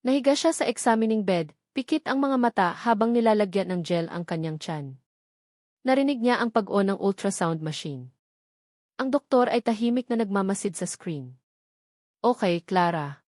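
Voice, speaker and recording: A woman's voice: mid-range voice, lively intonation, moderate pace, darkish tone, dry recording, clean studio sound. A woman with a Filipino accent reading a scripted, dramatic romance story as narrator.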